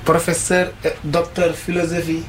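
A radio host hosting a debate, speaking in halting, informal phrasing. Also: medium pitch, little pitch movement, soft background music, low-quality recording.

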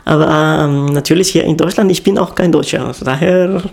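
Hesitant gravelly man from Honduras. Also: fairly high pitch, fairly even intonation, a little mellow. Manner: hesitant, many fillers, halting. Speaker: interviewee. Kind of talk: interview answer.

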